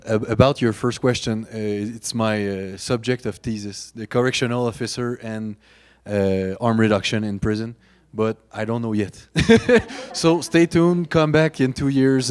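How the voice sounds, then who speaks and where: Smooth-voiced man, native French speaker. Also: medium pitch. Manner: light, self-mocking, laughs between points. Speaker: graduate student panelist. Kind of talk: spontaneous panel answer. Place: conference room at a panel session.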